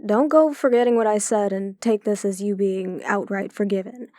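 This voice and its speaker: A woman's voice: mid-range voice, steady tone, normal speed, darkish tone, no room sound, close to an everyday voice. An actress playing a guarded, tough female police chief in an audio drama, with a dry, firm manner. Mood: firm, annoyed.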